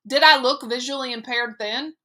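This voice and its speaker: A woman's voice: on the high side, animated, normal speed. A woman voicing a defiant middle-school boy. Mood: accusing. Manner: indignant, pleading, rising to shouts. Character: upset middle-school boy goalie, stubborn and proud.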